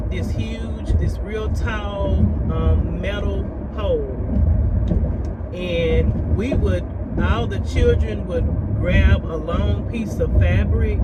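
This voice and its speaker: A middle-aged man reminiscing casually as a storyteller, in an unhurried, rambling way that circles back over what was said. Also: medium pitch, muffled recording, very noisy. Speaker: storyteller sharing childhood memories.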